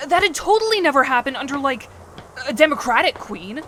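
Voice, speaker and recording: A woman's voice: high voice, big pitch swings, a little fast, a bit bright, a little hiss or hum. A woman playing a flippant young woman in an audio drama, with slangy, sarcastic delivery. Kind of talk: audio drama character acting.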